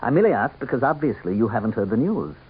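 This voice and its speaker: A man's voice: medium pitch, sing-song, fast talker, slightly rough. A man playing a sly, insinuating political campaign manager with mock courtesy in a vintage radio drama. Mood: sly, probing. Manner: suave, pointed, mock-courteous. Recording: tinny vintage radio, faint noise.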